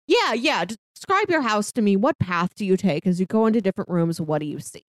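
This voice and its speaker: A woman co-hosting a film discussion podcast, talking casually and reflectively with halting phrasing. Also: mid-range voice, expressive, no echo, studio quality. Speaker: podcast co-host reviewing films.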